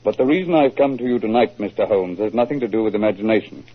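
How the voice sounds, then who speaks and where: Husky gentleman writer played by a man. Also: on the low side, lightly acted. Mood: earnest, grave. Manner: formal, measured storytelling. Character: earnest, crafty male mystery writer client. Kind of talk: 1940s radio drama acting. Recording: muffled tinny 1940s radio recording.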